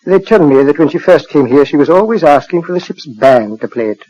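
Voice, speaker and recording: British male doctor, measured, genial and patronising, slightly high voice, lo-fi vintage radio drama. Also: expressive, warm tone, no echo. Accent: British English. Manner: courteous, measured, faintly patronising. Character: genial, matter-of-fact male asylum doctor. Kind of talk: 1940s radio acting. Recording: dull, lo-fi 1940s radio recording.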